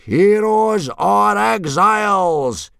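A man's voice: sits high, expressive, extremely slow, vocal fry, fairly bright tone, clean tone, no echo, clean studio sound, voice pushed up, heavily acted. A man voicing a bad-tempered Viking warrior instructor in a storybook, blustering and barking like a drill sergeant. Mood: yelling.

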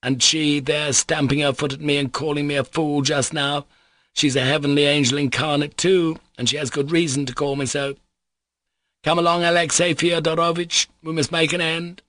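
A male narrator voices a ruined old-story army captain, a father who mocks himself. He talks in a raised, agitated pitch, fawning and theatrical, with a dry sound around him. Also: fairly even intonation, moderate pace, a bit bright, no room sound, natural voice. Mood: bitterly effusive.

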